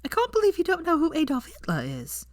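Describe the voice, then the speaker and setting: A blunt young witch teacher, played by a woman narrator from a fantasy storybook. She sounds jaded and sardonic, lifted a little in pitch, in a dry close recording. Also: wildly swooping pitch, quick pace, no echo.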